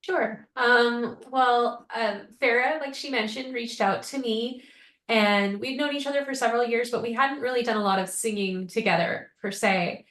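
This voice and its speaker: Tender-voiced woman, a bit high, echoey room sound. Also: normal speed. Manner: tender, soft-spoken, grateful. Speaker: guest singer. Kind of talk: casual chat on a video call.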